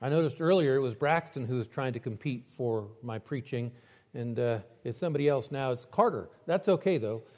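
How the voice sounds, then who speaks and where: A man delivering a church sermon, slowing down to stress key words. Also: mid-range voice, expressive, darkish tone, dull audio. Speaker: preacher. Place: church building.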